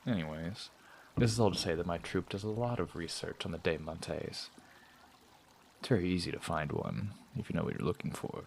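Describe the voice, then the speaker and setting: A man voicing a sly traveling performer who teases and probes with smooth talk in an audio drama. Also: low voice, normal speed, slight background noise. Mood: teasing, prying. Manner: teasing, probing, smooth-talking. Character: sly prying male traveling performer, teasing.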